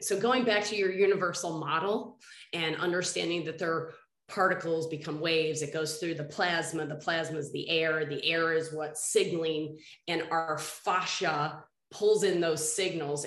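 Woman host with a head cold, bit nasal, lowish voice, rambly and earnest, echoey room. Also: a bit bright. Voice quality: a little nasal. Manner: earnest, rambling, restarts sentences. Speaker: podcast host. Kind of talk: casual interview chat.

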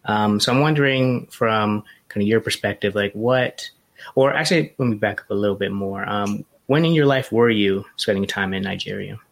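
A man hosting a podcast interview, speaking in a relaxed, conversational way with frequent fillers. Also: fairly low pitch, moderate pace, creaky. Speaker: podcast host.

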